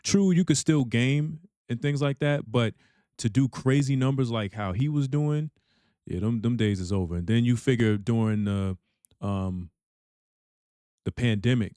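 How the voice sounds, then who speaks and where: A man with a deep voice hosting a reaction channel, chatting casually and filling his talk with "you know what I'm saying.". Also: measured pace, mellow, no echo, clean studio sound.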